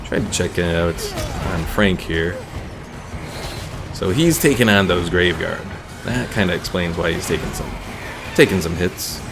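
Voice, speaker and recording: A man giving relaxed, casual live game commentary as a streamer, thinking aloud as he plays. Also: low voice, unhurried. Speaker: gaming streamer. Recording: game music behind the voice.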